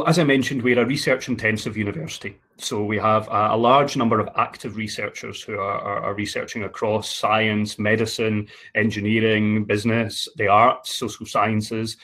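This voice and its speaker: A man's voice: lowish voice, a bit bright. Scottish man presenting a webinar. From Scotland. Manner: stumbling, repeats words, many fillers. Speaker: university recruitment presenter.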